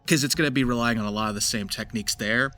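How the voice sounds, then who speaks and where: A man with a bright, forceful voice at an ordinary male pitch gives a casual walkthrough as a voice actor. He explains technique conversationally, with faint music behind him. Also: racing. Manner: slips into gritty character demonstrations. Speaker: voice actor giving tips.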